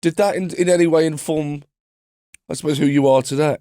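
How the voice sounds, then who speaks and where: A man in his thirties from London hosting a podcast, chatty and quick to laugh as he asks questions. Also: on the high side, steady tone, dark tone, smooth, no room sound, clean studio sound. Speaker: podcast host. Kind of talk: casual interview chat. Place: podcast studio.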